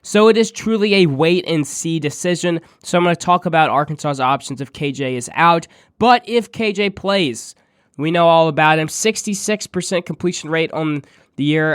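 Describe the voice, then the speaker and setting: A man with a congested, nasal voice while under the weather, hosting a podcast and giving a steady, stat-heavy sports preview. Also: a little high, normal speed, smooth, no room sound, studio quality. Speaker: podcast host.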